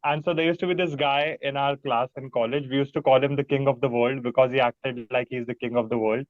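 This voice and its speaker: A man's voice: mid-range voice, steady tone, brisk, no echo. A man in his early thirties with an Indian accent, a musician reminiscing casually in an interview, loosely restarting phrases with "like". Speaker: musician, band member being interviewed. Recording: slightly thin, phone-like, dry sound.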